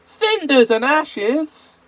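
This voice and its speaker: A man's voice: sits high, very animated, normal speed, voice pushed up, low-quality recording. A man doing a chirpy little tank engine.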